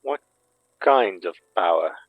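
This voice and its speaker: A wary, puzzled man in a horror audio drama, questions things slowly and haltingly. His voice is fairly low, with an incredulous edge. The sound is dry and a little dull. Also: expressive, no echo, heavily acted.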